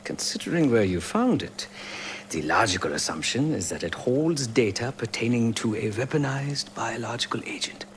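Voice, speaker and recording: A man's voice: mid-range voice, expressive, normal speed, a little hiss or hum, natural voice. A man playing a courtly, remorseful former secret policeman turned hacker in a video game, formal and philosophical with a wry edge. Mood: reflective. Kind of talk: video game dialogue acting.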